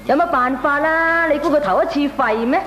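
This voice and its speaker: Resigned, high-voiced Hong Kong housewife. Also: female voice, fairly quick, a bit bright. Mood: resigned, complaining. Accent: Hong Kong Cantonese. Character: sensible, resigned married female neighbour. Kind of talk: Cantonese radio comedy drama acting.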